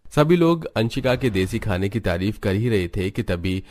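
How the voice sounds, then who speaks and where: A man reading scripted narration for an animated family story. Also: lowish voice, normal speed.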